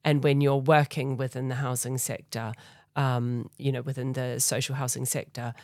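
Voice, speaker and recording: Woman with a New Zealand accent, slightly gravelly. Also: a bass voice, flat delivery, normal speed, darkish tone, no room sound, studio quality. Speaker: charity chief executive, podcast guest. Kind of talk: conversational interview.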